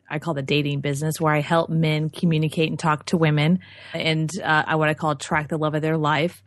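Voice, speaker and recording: A woman's voice: lowish voice, dry recording. A woman from Texas with no regional accent, a business coach telling her story as an interview guest in a chatty, candid way with many fillers.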